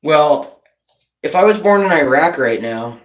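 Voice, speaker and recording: A man with a slightly high voice talks casually and at length, as if to an online audience. He sounds earnest and conspiratorial, meandering along and posing rhetorical questions. The sound is roomy and echoing. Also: expressive, unhurried, a bit bright, muffled recording. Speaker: online video creator. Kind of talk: rambling casual monologue to camera.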